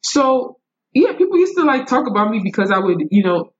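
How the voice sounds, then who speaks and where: A young woman telling stories in casual, slangy, animated talk with Nigerian turns of phrase. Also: a little high, a little fast, muffled recording, slight background noise. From United States. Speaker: podcast guest. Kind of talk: casual conversational storytelling.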